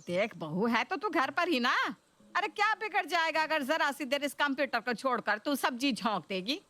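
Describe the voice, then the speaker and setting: Lady doing a bossy older indian mother-in-law for a cartoon, bright forceful voice, always complaining, dry close sound. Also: high voice, very animated, normal speed, dry recording, heavily acted. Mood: demanding. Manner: complaining, taunting. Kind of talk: Hindi cartoon story voice acting.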